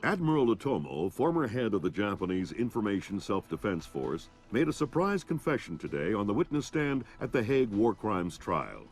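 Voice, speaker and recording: A man's voice: fairly low pitch, very animated, normal speed, few pauses, soft background music. A man playing a formal, serious television news anchor reading a bulletin in a video game. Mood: formal, measured.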